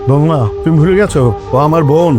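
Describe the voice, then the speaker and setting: Man acting middle-aged patriarch, teasing, quick speech, family TV drama, music behind. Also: a little high, steady tone, close to an everyday voice. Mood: concerned. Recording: music over dialogue.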